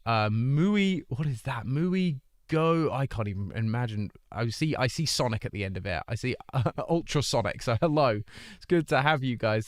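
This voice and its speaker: A man hosting a live stream who talks straight to his chat in a casual, rambling way, with earnest pleas and plenty of restarts. His mid-pitched voice stays smooth and clear, though he is still getting over an illness. The sound is dry and close. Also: dry recording. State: recovering from an illness, voice not at full strength. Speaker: livestreamer hosting a charity stream.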